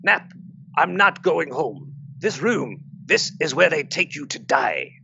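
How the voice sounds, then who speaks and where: A man's voice: a little high, big pitch swings, slow, vocal fry, pushed and bright, lo-fi sound, slight background noise, full character voice. A man playing a blunt, sardonic, wounded British soldier and protective father in an audio drama. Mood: resigned, grave.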